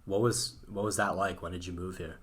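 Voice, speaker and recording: A man's voice: sits low, steady tone, a little fast, a bit bright. A man hosting a podcast, asking questions in a casual, informal way with some slang. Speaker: podcast host.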